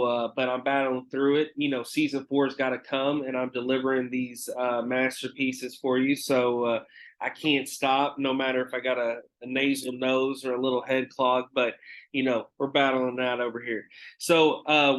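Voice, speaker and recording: A man's voice: medium pitch, level tone, normal speed, fairly bright tone, rough recording. A man hosting his own podcast alone, talking casually with many fillers and some swearing, sounding nasal because he is getting over a cold. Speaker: podcast host. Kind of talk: casual solo podcast talk.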